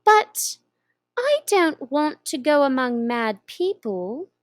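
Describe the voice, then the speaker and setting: A woman's voice: high voice, very animated, slow pace, dry recording, clean studio sound, voice pushed up, exaggerated voice. A woman voicing a polite, curious young girl in a storybook reading, with a prim, earnest manner.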